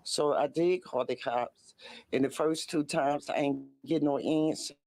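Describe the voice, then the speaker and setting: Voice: mid-range voice, steady tone, a little slow, a little mellow. A man giving a plain personal account as a witness in a news interview.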